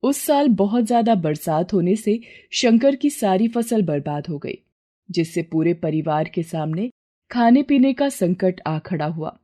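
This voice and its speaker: Mellow woman narrating a moral story. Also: mid-range voice, animated, unhurried.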